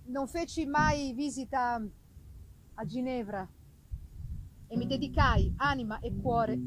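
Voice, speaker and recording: A woman giving a theatrical first-person reading of a Gothic novel, with deliberate phrasing and dramatic pauses. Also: high voice, rough recording. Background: live music accompaniment.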